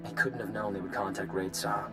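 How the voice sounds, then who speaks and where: Man as a lowish-voiced, matter-of-fact adviser. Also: level tone, fairly quick, close to an everyday voice. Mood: businesslike. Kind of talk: video game cutscene acting. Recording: loud music under the voice.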